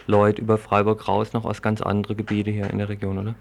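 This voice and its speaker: A male radio presenter with a low voice and very even intonation. He delivers news reporting and puts interview questions in a plain, flat melody. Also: fast, gravelly.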